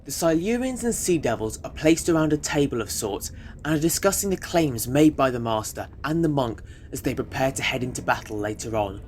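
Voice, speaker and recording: A man's voice: medium pitch, lively intonation, a little fast, faint music behind. A man reading scripted narration for an audio drama.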